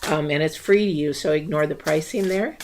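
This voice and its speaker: A woman from the United States giving a casual tarot reading, rambling with many fillers and restarts, her voice a little worn and going hoarse. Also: deep voice, a little fast, darkish tone, slight background noise. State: losing her voice. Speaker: tarot reader. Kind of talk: casual spiritual reading to camera.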